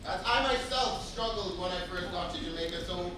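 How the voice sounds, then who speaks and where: A man's voice: high-pitched, normal speed, lightly acted. An actor on a live stage playing a fussy, formal young man who lectures a newcomer at length. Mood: lecturing. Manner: formal, overly polite and long-winded. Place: large theatre stage. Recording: echoey hall picked up from far off, noisy.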